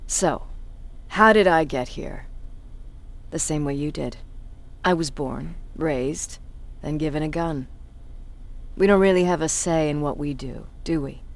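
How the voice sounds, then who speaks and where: A cynical military commander in a video game. The voice sits at a middle pitch and moves deliberately. It is world-weary, condescending and quietly intimidating, like a self-confessed bully addressing a subordinate. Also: creaky. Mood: sardonic, probing. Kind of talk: video game cutscene acting, dramatic monologue.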